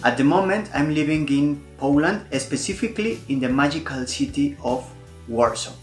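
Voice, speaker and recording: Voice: mid-range voice, animated, moderate pace, faint music behind. Speaker from Mexico City, echoey room. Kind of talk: casual self-introduction to camera.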